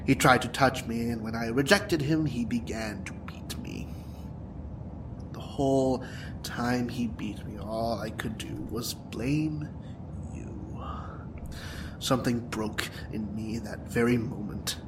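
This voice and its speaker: A man's voice: mid-range voice, expressive, slow pace. An actor playing a bitter, wounded young male supervillain in an audio drama, taunting and dramatic in manner. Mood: wounded, intense. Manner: taunting, dramatic, profane, breaking into whispers and laughter. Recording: clear background noise and ambience.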